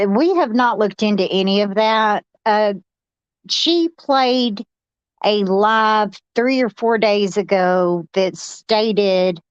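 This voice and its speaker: A woman giving casual commentary as a panel host, halting as she goes, on dull lo-fi audio. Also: mid-range voice, clean tone, dry recording.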